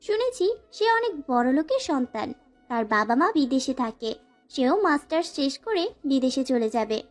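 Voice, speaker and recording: A woman's voice: high voice, very animated, normal speed, faint music behind. A woman playing a frightened, pleading young student bride in a dramatized first-person romantic audio story. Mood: sad, confiding. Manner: plaintive, pleading.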